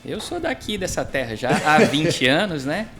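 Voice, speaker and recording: A man's voice: fairly high pitch, very animated, normal speed, vocal fry, a bit bright, faint music behind. A man from the interior of Minas Gerais with a mineiro accent, a pastor chatting as a guest and telling his story, often ending lines with "né?". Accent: Minas Gerais Brazilian Portuguese. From interior of Minas Gerais, Brazil. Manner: chatty storyteller, tags sentences with "né?". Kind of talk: casual chat interview.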